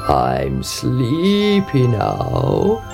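Storybook frog , played by a woman: low croak, slow drawn-out delivery, insistent and demanding. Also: wildly swooping pitch, music in the background, heavily acted. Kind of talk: storybook character reading.

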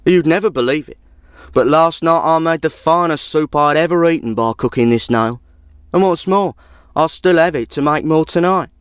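A man's voice: fairly high pitch, moderate pace, clear, resonant tone, no room sound, studio quality, voice pushed up, lightly acted. A man voicing a sly, hungry traveller in a folk tale, a persuasive trickster who coaxes. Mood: boastful coaxing. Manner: coaxing, persuasive.

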